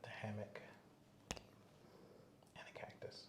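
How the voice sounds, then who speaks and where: A man playing the patient in an ASMR exam, giving quiet, brief, hesitant answers, half whispered and set a little back from the mic. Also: drawn-out words, echoey room.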